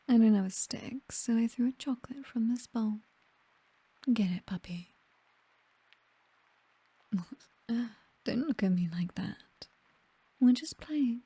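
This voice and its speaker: Female actor as British vampire mistress for ASMR roleplay: very soft dark tone, breathy whisper, possessive, condescending, seductive. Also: mid-range voice, dry recording. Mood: teasing, playful. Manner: slow, teasing, softly commanding. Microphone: close microphone, dry.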